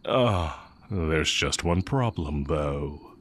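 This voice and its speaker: A man acting as a sly, coolly teasing heretic magic teacher in an intimate audio roleplay, musing and taunting with drawn-out pauses. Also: extremely low pitch, lively intonation, fairly bright tone, a little hiss or hum, exaggerated voice. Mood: sly, menacing.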